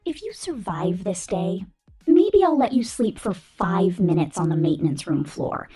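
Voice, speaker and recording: A woman voicing a vain, domineering female robot corporate boss who scorns humans, in a condescending, menacing ASMR roleplay with a whispery, deliberate delivery. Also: on the low side, sing-song, dark tone, faint music behind, heavily acted. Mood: cold, menacing. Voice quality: breathy, whispery. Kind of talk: ASMR roleplay monologue, scripted.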